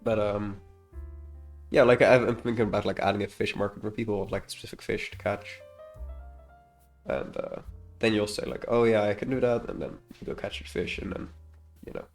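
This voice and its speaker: A man's voice: on the low side, flat delivery, normal speed, pauses often, soft background music. A man with a slightly congested voice gives casual, unscripted commentary as a game developer, rambling hesitantly and often trailing off mid-thought. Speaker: indie game developer presenting a devlog.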